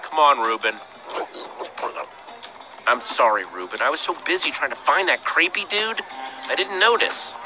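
A man's voice: fairly high pitch, expressive, moderate pace, pauses often, music in the background, close to an everyday voice, poor audio. An actor playing an earnest young male hero in a video game, speaking casually but with urgency. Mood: apologetic, earnest.

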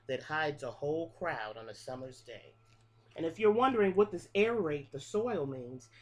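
A middle-aged woman reading a picture book aloud to preschool children in a sing-song rhythm, stopping to explain things, recorded close on a webcam in a small room. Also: fairly low pitch. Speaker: pre-K teacher. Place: small room with a classroom wall display. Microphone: webcam at close range.

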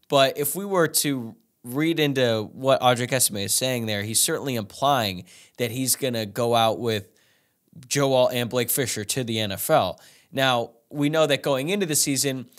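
Young guy podcasting about football, chatty, lots of uh and like, mid-pitch voice. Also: fairly bright tone. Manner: casual, conversational, many "uh" and "like" fillers. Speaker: podcast host. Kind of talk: solo postgame sports analysis. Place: small room, indoors. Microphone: studio microphone in front of him.

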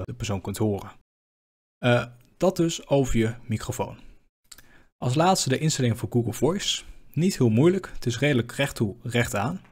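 A man narrates a software walkthrough in an informal tutorial style. His mid-pitched voice has a slightly husky edge as he explains things step by step.

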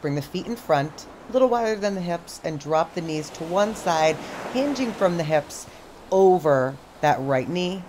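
Calm woman cueing stretches on a beach. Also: fairly low pitch, normal speed, poor audio. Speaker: stretch instructor. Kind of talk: guided stretching class. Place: sandy beach outdoors by the ocean. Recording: outdoor surf noise behind the voice. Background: ocean waves.

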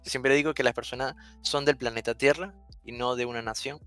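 A man's voice: medium pitch, fairly even intonation, fairly quick, a bit bright, clear, resonant tone, no echo, faint music behind. A young man from Venezuela whose Spanish carries an Argentine lilt, chatting as a guest on a headset with frequent restarts. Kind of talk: casual interview chat.

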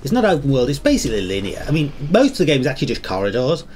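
A man narrating his own gameplay in a chatty, enthusiastic, rambling way. His voice is a little croaky, as if under the weather, and his pitch swings widely. Faint background noise sits under the desk-mic recording. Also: huge pitch swings, rapid-fire, a little mellow. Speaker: gameplay commentator. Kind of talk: casual gameplay commentary.

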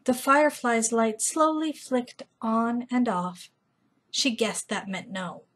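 A middle-aged woman narrates a children's picture book as a storytime host. Her voice is fairly high and husky, and she reads slowly and gently. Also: animated. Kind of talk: children's read-aloud narration.

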